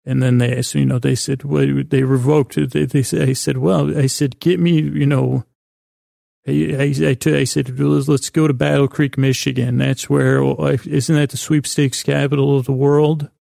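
A man hosts a sleep podcast in a creaky, relaxed voice, recorded close and dry. He meanders gently through his sentences, stumbling and restarting as he goes, with a soothing, quietly absurd bent. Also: medium pitch, dry recording. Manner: meandering, halting, stumbling over words. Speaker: podcast host. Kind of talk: rambling bedtime-story sleep podcast monologue.

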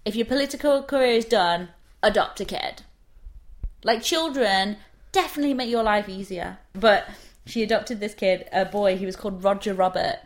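A woman's voice: medium pitch, moderate pace. A woman in her twenties, co-hosting a podcast and telling a history story in a sarcastic, jokey, sweary way. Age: 20s. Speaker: podcast co-host. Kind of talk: casual chat, storytelling.

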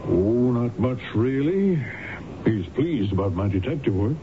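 An American actor playing an earnest, courteous, persistent lawyer and husband in a radio drama, speaking in a polite, measured, probing manner. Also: male voice, medium pitch, animated, natural voice. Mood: fond, easy. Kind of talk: old-time radio drama acting. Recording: noisy, narrow-band old radio recording.

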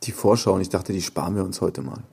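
A man hosting a podcast in casual chat, putting questions with a playful, halting delivery. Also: low voice, vocal fry, dark tone, husky. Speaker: podcast host.

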